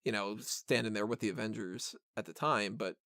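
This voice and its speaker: Male podcast co-host, clear smooth voice, casual and keen, dry close sound. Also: on the low side, moderate pace, fairly bright tone, no room sound. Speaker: podcast co-host reviewing a film. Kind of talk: casual film review chat.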